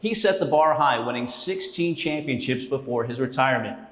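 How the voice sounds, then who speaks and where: A man's voice: mid-range voice, lively intonation, normal speed, a bit bright. Upbeat male sports show host. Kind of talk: scripted narration and interview.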